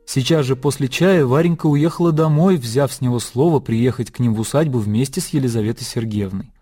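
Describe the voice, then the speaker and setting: A man narrating a literary radio play in a measured, composed storytelling manner. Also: medium pitch, warm tone, slightly rough. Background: music.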